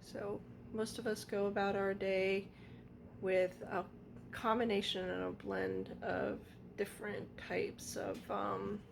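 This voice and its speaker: A woman vlogger losing her voice, talking slowly and reflectively with many pauses. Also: medium pitch, flat delivery, vocal fry, background noise. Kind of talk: casual vlog chat.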